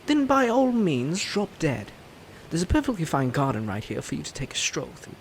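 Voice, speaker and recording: An actor playing a suave, smug, flirtatious prince in a romantic roleplay audio drama, teasing and haughty with a sinister edge. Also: male voice, a little high, huge pitch swings, normal speed, vocal fry, slight background noise, full character voice. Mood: scornful. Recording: music under the voice.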